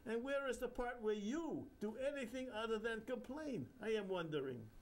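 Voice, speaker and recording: A man's voice: extremely high pitch, lively intonation, moderate pace, darkish tone, pitched above the performer's own voice. A man doing a giggly nasal Indian guru spirit. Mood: lecturing amused. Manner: bubbly, amused, scolding. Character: gleeful giggling old male guru spirit.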